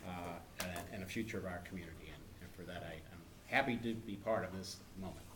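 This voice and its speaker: An American man giving halting, unscripted remarks as a council member at a public meeting. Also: low voice. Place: indoor council chamber. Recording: noisy meeting room.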